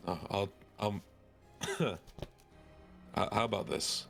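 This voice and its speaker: Low, gravelly man playing a tabletop game. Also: expressive, takes it slowly, fairly bright tone, faint music behind. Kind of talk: casual in-character roleplay chat.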